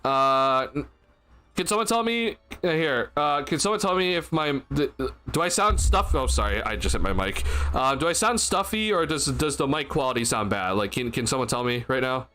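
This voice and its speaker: Young male streamer, tired and a bit stuffy, bright tense tone, rambling with fillers, desk mic. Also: medium pitch, expressive, normal speed, clear, resonant tone, faint music behind. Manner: rambling, many fillers and restarts. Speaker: sports livestream host. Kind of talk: casual postgame livestream chat. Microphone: desk mic at a streaming setup.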